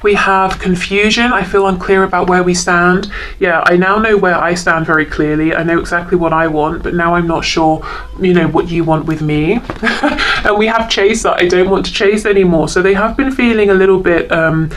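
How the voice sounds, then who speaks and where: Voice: medium pitch, steady tone, moderate pace, a bit bright, a little hiss or hum. A tarot reader talking informally through a card reading, thinking aloud in a hesitant, reflective way and reading out messages.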